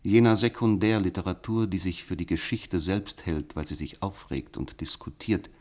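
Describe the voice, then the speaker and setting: A man acting as a radical philosopher in a radio play, with a deep voice. He lectures with fervent, emphatic conviction and builds his points rhetorically, resolute and didactic. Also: normal speed, darkish tone, lightly acted. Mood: earnest, didactic.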